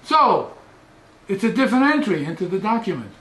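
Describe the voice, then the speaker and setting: An older man, a professor, gives an animated, lecture-style philosophical talk into a headset mic in a small study, often trailing off into quieter asides. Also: sits high, brisk, echoey room, rough recording. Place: small study with bookshelves, indoors.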